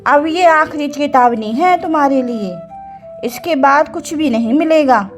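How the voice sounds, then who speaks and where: A clever old cartoon village woman, played by a woman, talks in a high voice. She is plain-spoken, plaintive and quick to scold, over faint music. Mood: irritated. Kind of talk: animated cartoon story acting.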